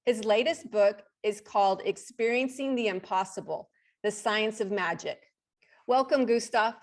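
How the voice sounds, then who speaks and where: A woman's voice: mid-range voice, a little slow. A woman hosting an interview on her online channel, upbeat, with brief affirmations. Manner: upbeat, reading the guest bio, brief affirmations. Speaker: host.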